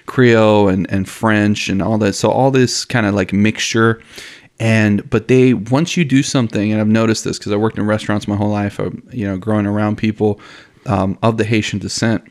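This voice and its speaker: Laid-back man from Miami, low voice. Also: fairly even intonation, normal speed. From Miami, family from Honduras. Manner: laid-back, says dude and you know a lot, laughs easily. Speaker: podcast co-host. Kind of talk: casual chat.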